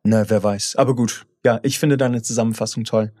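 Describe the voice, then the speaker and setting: A man's voice: on the low side, fairly even intonation, a little fast, warm tone, no echo. Blunt man co-hosting a podcast, dry sound. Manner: blunt, repeats words when restarting. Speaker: podcast co-host. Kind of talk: casual chat.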